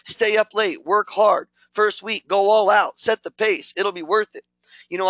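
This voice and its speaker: A man's voice: high voice, sharp tone, no room sound, rough recording. A man giving a punchy, repetitive motivational training talk to a network marketing team. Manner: punchy, repetitive, hyped coaching.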